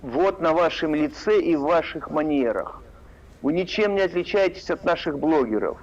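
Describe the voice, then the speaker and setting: Brief: man, somewhat high voice, sarcastic and needling, coming through dull lo-fi call audio. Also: lively intonation, moderate pace. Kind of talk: heated argument.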